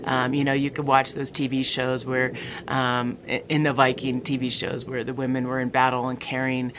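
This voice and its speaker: A woman's voice: rumbling low, completely flat delivery, normal speed, background noise. A middle-aged woman from the United States chatting casually to her viewers, rambling with many ums and restarts. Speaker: vlogger. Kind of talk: casual talk to camera.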